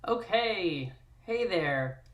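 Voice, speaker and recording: A woman's voice: on the low side, lively intonation, drawn-out words, highs cut off. A woman hosting a live-streamed table read, introducing it and narrating from a script.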